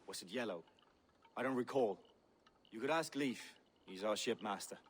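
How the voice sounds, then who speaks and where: Boastful viking warrior, grand and quick to take offense, game dialogue. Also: male voice, fairly low pitch, breathy edge. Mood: evasive. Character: proud zealous male Viking warrior, touchy.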